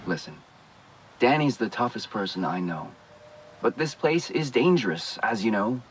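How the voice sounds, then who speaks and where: A man's voice: medium pitch, sing-song, moderate pace, slight background noise, lightly acted, poor audio. Man acting a hesitant, worried, caring everyman. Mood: rueful, concerned. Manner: hesitant, conversational, trailing off. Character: worried, hesitant man who blames himself, guarded but caring. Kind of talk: naturalistic video game acting.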